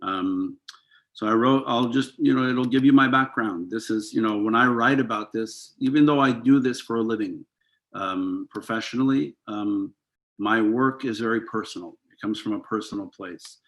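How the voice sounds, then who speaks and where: A man in his fifties with a low, gravelly voice, speaking over a video call. He is a rabbi on a panel, talking reflectively and earnestly, and he pauses often on ums and uhs. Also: a bit bright. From United States. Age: 50s.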